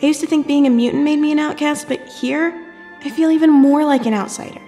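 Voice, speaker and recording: Casting: teen mutant girl, high pitch, chatty, wry and a bit uneasy, audio drama with soft music underneath. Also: steady tone, a little fast. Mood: glum. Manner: casual, wry, questioning.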